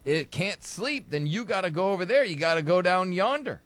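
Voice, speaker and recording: A man's voice: high-pitched, lively intonation, fairly quick, fairly bright tone, dry recording. A man co-hosting a casual podcast, teasing his friends and playfully indignant when they derail his point. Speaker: podcast co-host. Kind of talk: casual banter.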